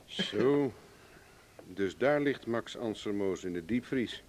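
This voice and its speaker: An actor in a Dutch radio play voicing a terse, stubborn investigator with a curt, sardonic manner. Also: male voice, on the low side, lively intonation, takes it slowly, a little mellow. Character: terse, wry, stubborn male investigator under pressure.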